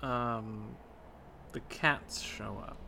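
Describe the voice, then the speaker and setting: A man's voice: mid-range voice, steady tone, very slow, gravelly, bright, forceful tone, a little hiss or hum. A man co-hosting a podcast, chatting casually about a video game. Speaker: podcast co-host.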